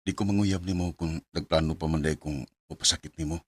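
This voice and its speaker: A man with a Cebuano Bisaya Filipino accent playing a thrifty, emotional young boyfriend in a radio drama, his voice husky. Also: a bass voice, steady tone, fairly quick, dry recording. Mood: pleading.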